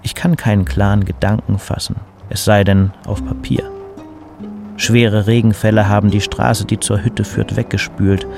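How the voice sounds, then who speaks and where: A burnt-out city poet in an audio drama, low-voiced with a gravelly creak. He is wry and neurotic and speaks in dry, formal, wordy phrases over music. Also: level tone, moderate pace. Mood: gloomy, formal. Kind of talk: audio drama dialogue and letter narration.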